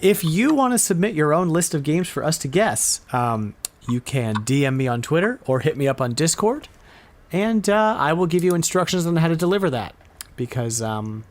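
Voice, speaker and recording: A man hosting a podcast, chatting casually and informally about retro video games. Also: mid-range voice. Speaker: podcast host.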